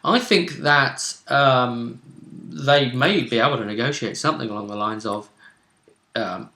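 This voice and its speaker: A man hosting a casual discussion of a tabletop game, talking thoughtfully with drawn-out words and frequent restarts. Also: mid-range voice, pushed and bright. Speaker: game master and co-commentator. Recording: roomy echo.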